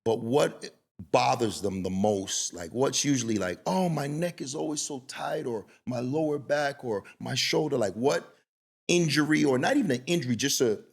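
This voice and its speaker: A middle-aged man with a gravelly, slightly husky voice hosts a podcast. He rambles through stories in a loose, casual way and keeps checking in with "you know what I mean.". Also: medium pitch, normal speed. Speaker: podcast host. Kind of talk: casual conversational interview.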